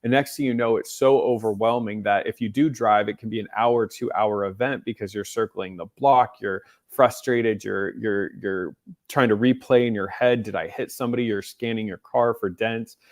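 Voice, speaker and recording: A man's voice: fairly low pitch, steady tone, normal speed, a little mellow, no echo, studio quality. An American man hosting a question-and-answer livestream, speaking conversationally and earnestly, with emphatic repeated lists as he mixes personal testimony and advice. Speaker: mental health advocate hosting a livestream Q&A.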